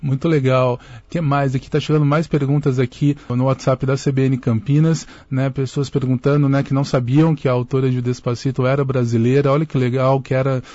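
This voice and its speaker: A man's voice: medium pitch, flat delivery, brisk, hardly any pauses, mellow, no echo. A man hosting a live radio interview, chatting and reading out listener messages. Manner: conversational, reads out listener messages. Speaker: radio host. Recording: dull lo-fi radio audio.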